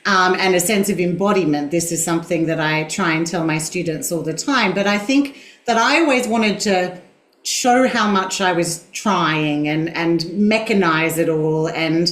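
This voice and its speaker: A woman in her forties, a singing teacher, talking as an interview guest in a reflective, self-deprecating way with a boomy mezzo voice. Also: moderate pace, reverberant. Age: 40s. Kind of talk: conversational interview.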